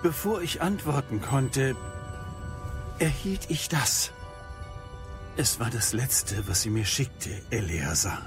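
Man acting a slow, expressive ministry wizard. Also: mid-range voice, creaky. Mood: grave. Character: courteous, grave male ministry wizard, flattering old friend. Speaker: voice actor in an audio drama. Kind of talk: dramatised fantasy radio play. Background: music.

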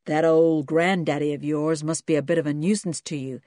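A woman's voice: low voice, steady tone, moderate pace, dark tone, dry recording, clean studio sound, lightly acted. A woman voicing a boastful, burly American father in a storybook, hearty and crass in manner. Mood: sneering.

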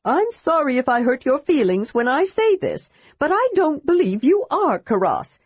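Storybook kender: a small, excitable chatterbox, high and indignant, put on by a woman narrator. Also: moderate pace, dry recording, lo-fi sound. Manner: high, eager, indignant outbursts.

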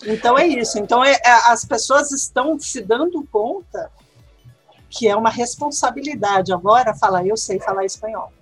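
A Brazilian woman speaking in an interview, explaining at length in an animated way and tagging sentences with questions. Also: a little high, moderate pace, husky, soft background music. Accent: Brazilian Portuguese. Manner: animated, explanatory, frequent "né?" tags. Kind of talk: conversational interview.

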